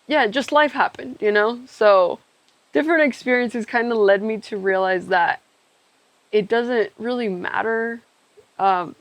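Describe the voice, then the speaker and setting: A woman's voice: a little high, a little slow, no echo. A young woman from Ecuador talking candidly and reflectively on a podcast, thinking aloud with trailing asides. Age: young adult. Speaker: podcast co-host telling their own story.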